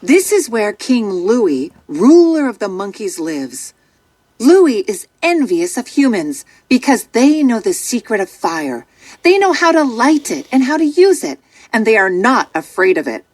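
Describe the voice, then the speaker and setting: High, expressive woman storyteller. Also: measured pace, no echo. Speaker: storybook narrator. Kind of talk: scripted children's story narration.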